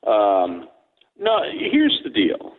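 A man's voice: lowish voice, wildly swooping pitch, very slow. A man, a pastor co-hosting a radio show, talking in a joking, rambling, storytelling way over a phone line. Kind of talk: casual radio banter.

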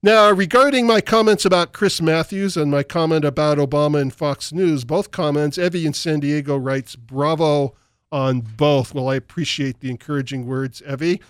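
A man's voice: fairly high pitch, normal speed, clear, resonant tone, no room sound, clean studio sound. Emphatic man hosting talk radio. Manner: emphatic, opinionated delivery. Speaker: radio talk show host. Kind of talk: talk radio commentary and reading listener emails. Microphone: studio microphone.

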